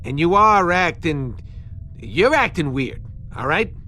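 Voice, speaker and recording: A man voicing a brash, skeptical little creature sidekick in an animated space game, blunt and streetwise. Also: fairly high pitch, slow, a little hiss or hum, exaggerated voice. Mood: wary, nagging. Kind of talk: animated game drama acting.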